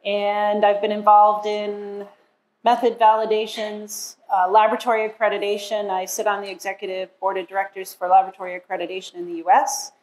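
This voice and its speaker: Loud-voiced woman presenting a seminar. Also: medium pitch, flat delivery, brisk, low-quality recording. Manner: friendly, loud, self-introducing. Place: university lecture hall. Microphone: podium microphone.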